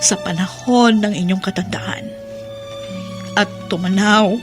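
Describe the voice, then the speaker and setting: An actress playing a tender, dutiful grown daughter in a Filipino radio drama, with an earnest, emotional delivery. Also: female voice, mid-range voice, steady tone, measured pace, close to an everyday voice. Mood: tender, devoted. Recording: music bed behind the voice.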